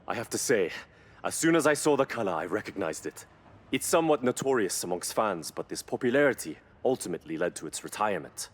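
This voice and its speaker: A man playing a knowledgeable car expert in a video game, explaining in a measured, admiring way. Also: on the low side, very animated. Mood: impressed. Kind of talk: scripted video game dialogue.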